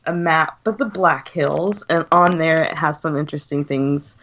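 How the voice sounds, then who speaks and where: Low-voiced American woman with a cold. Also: normal speed. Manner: chatty, laughs softly, many fillers. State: sick with a cold, deeper voice. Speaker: guest co-host. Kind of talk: casual chat. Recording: lo-fi online radio call.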